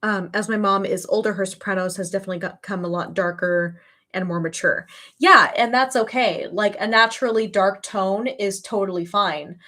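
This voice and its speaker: A woman's voice: medium pitch, moderate pace, bright, forceful tone. A woman in her early thirties chatting casually as a livestream host, with an emphatic, gushing way of talking. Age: early 30s.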